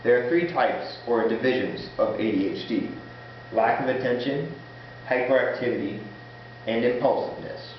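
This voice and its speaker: A man delivers a formal student speech in a very reverberant room. His voice sits at an average pitch and comes through dull and muffled. He sounds like he is partly reading, with halting stumbles over words. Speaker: student giving a class speech. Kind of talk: prepared informative speech, partly read aloud. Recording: very echoey room, muffled, faint noise.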